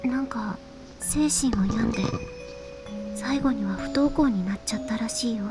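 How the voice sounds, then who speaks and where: A teenage schoolgirl from a Japanese visual novel, voiced by a woman, cautious and fond of gossip. Her voice is high and she talks quickly. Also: music in the background, lightly acted. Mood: hushed warning.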